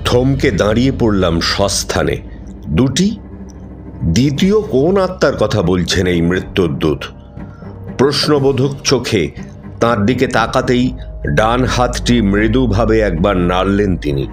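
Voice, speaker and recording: A man narrating a horror story in the first person, dramatic and suspenseful, with pauses for effect. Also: lowish voice, very animated. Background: music.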